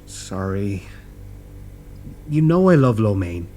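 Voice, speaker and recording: A man playing a pedantic, deadpan monster roommate who is easily affronted, in an audio drama. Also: on the low side, slow, soft background music. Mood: casual, coaxing. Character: fussy male purple furry monster roommate, bespectacled, pedantic.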